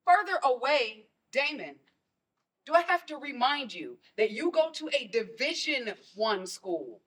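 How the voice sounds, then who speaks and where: A woman's voice: high-pitched, sing-song, a little slow, breathy edge, heavily acted. A woman playing a stern, protective mother in a stage play, scolding and pressing with pointed questions. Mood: alarmed, disbelieving. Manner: forceful, scolding, rhetorical questions.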